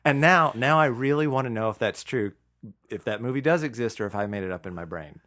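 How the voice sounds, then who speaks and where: A man co-hosting a podcast in casual conversation, chatty and halting as he tells things. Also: mid-range voice, expressive, pushed and bright. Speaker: podcast co-host.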